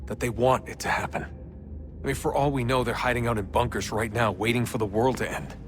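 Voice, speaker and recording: A man's voice: medium pitch, fairly even intonation, a little fast, noisy background, close to an everyday voice. An actor playing a young man in a video game, talking casually and bluntly with a frustrated edge. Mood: uneasy. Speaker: game protagonist. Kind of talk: video game cutscene dialogue. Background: music.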